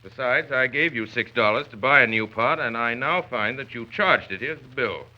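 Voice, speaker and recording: A man's voice: mid-range voice, normal speed, natural voice. Man playing a pompous, blustering father, old radio. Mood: exasperated, pompous. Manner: pompous, indignant, emphatic. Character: blustering, easily offended middle-aged father, stubborn household tyrant. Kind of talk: 1930s radio acting. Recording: dull 1930s radio recording.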